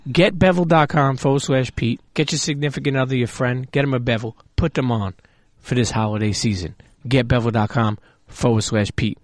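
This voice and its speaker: A man's voice: mid-range voice, steady tone, fairly quick, hardly any pauses, no room sound. A man with a mid-range voice delivering a playful, loose sponsor read as a podcast host. It sounds close and dry, right on the mic. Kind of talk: casual live ad read.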